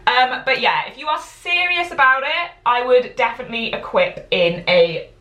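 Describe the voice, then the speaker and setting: A woman's voice: high-pitched, lively intonation, normal speed, sharp tone. A woman with a Welsh accent, giving a chatty live tutorial on sewing from her home, friendly and prone to tangents. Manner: friendly and encouraging. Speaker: sewing teacher. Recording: echoey room.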